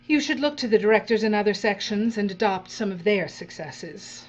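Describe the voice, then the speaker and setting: An actress playing a coolly menacing, condescending female overseer, measured and dry, in a dark audio drama. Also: medium pitch, expressive, natural voice. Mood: withering condescension. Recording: dull audio with faint mains hum.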